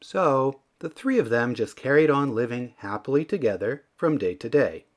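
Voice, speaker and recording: Male read-aloud narrator for kids' books, mid-pitched, highly animated intonation. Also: normal speed. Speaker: children's story reader. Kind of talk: expressive scripted storybook narration.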